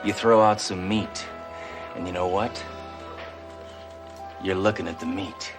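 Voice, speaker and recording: An actor playing a macho police detective who talks in a gruff, sarcastic, deadpan way. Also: male voice, low voice, unhurried, loud music behind the voice. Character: gruff macho cop, adult man. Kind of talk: sitcom acting.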